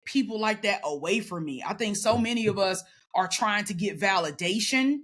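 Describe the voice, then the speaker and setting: A woman talking casually as a guest, loud and emphatic with a sassy edge. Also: mid-range voice, animated, moderate pace. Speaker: podcast guest. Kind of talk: casual conversation.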